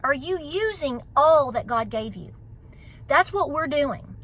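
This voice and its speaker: High, bright Appalachian Southern woman. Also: lively intonation, a little slow, low-quality recording. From United States. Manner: emphatic, exhorting, folksy. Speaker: homesteading vlogger. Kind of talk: casual motivational vlog talk.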